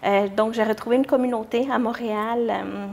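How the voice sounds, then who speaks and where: A young woman with a fairly high voice. She answers as an interview guest in an informal way, often hesitating as she looks for her words. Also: fairly even intonation, fairly quick, vocal fry, a little mellow. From Mexico. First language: Spanish. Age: young adult. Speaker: interview guest, church youth group leader.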